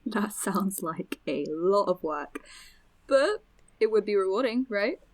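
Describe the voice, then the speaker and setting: A woman's voice: medium pitch, animated, slow, a little mellow, rough recording. A woman playing an eager, friendly young podcast host in an audio drama, chatty, encouraging and inquisitive.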